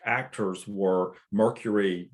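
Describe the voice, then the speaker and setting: A man's voice: lowish voice, steady tone, slow pace. A man talking in a book club chat, recounting film moments with enthusiasm. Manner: warm, enthusiastic about the film.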